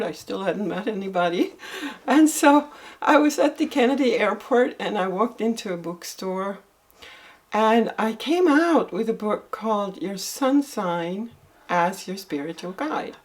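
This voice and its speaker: Older Swedish woman recalling her past. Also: medium pitch. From northern Sweden. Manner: gentle, unhurried storytelling with soft laughs. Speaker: interview guest. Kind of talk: reflective life-story interview.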